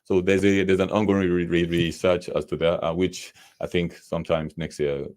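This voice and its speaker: Male radio guest, very low voice, frequent stammer on word starts. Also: flat delivery, moderate pace, a little mellow. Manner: stammers, repeats sounds and words. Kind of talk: radio studio interview. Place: radio studio.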